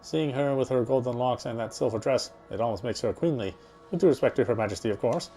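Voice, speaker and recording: Man voicing a fast, wry British narrator. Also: medium pitch, mellow, soft background music, lightly acted. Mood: resigned, reflective. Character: wry, self-justifying British husband's inner monologue. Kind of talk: first-person visual novel narration.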